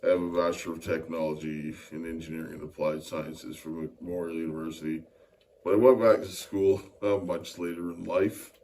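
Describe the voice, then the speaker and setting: A man's voice: very deep voice, gravelly, darkish tone. A middle-aged man from Winnipeg, explaining technical work as an interview guest with many hesitant fillers. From Winnipeg, Canada. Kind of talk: interview answers. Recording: distant mic with faint ambient noise.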